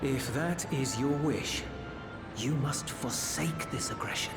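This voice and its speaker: Game hero: earnest, compassionate warrior, medium pitch, unhurried sincere delivery, music underneath. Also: male voice. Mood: sincere, resolute. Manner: measured, sincere, slightly formal. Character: earnest, compassionate male fantasy warrior, calm and resolute. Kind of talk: dramatic video game cutscene acting. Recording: echoey, with music behind.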